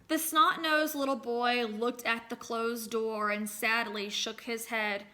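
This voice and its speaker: A woman teacher reads and explains a story for children. Her voice is bright and a little high, and she phrases things expressively, with deliberate emphasis. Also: slight background noise. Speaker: teacher reading aloud to children. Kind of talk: expressive storybook read-aloud with lesson questions.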